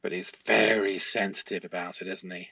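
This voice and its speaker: A man giving analytical commentary as a host, reading from a transcript in halting, stop-start phrases and stressing key words. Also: sits low, flat delivery, creaky, sharp tone, slightly rough, dry recording. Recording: dull, muffled lo-fi audio.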